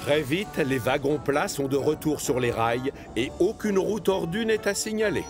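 A man's voice: a little high, expressive, normal speed. Male cartoon narrator over music. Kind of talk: scripted children's cartoon narration.

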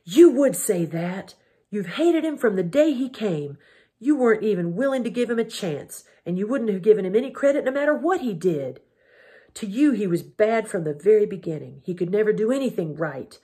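A woman voicing a boy in a storybook who shouts at his mother through tears, half crying and half shouting, in a husky voice. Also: mid-range voice, animated, moderate pace, voice pushed up. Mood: raging, tearful.

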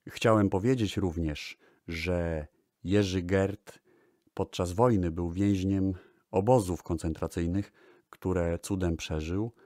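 A man presenting music history in a radio style, speaking deliberately with pauses and hesitations. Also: low-pitched, fairly even intonation, a little mellow, no echo, studio quality.

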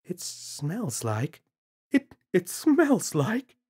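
A man's voice: high voice, huge pitch swings, very slow, darkish tone, slightly rough, voice pushed up, exaggerated voice. A man voicing a prim, disapproving, easily shocked mother in a children's story, fussy and aghast.

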